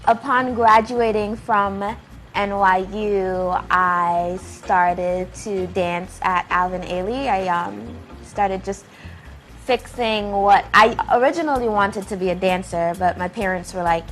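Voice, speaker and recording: Young female creative guest, mid pitch, informal and self-deprecating, light music behind. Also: fairly even intonation, takes it slowly, fairly bright tone. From Trinidad and Tobago, mostly raised in the United States. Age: young adult. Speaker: playwright and filmmaker, interview guest. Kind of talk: casual conversational interview.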